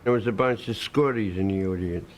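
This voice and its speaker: Low-voiced blunt man in interview. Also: fairly quick, heavy background noise. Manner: blunt, sweary. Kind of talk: casual interview.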